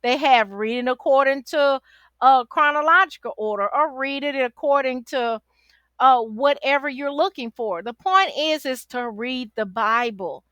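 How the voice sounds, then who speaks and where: A woman with a forceful, high voice teaches like a chatty life coach. She urges the listener on with repeated phrases and a sassy, familiar tone. The sound is close and dry. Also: a little slow, dry recording. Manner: exhorting, repeats phrases for emphasis; sassy, familiar, uses endearments like baby and honey. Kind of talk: casual, chatty Bible study teaching to camera.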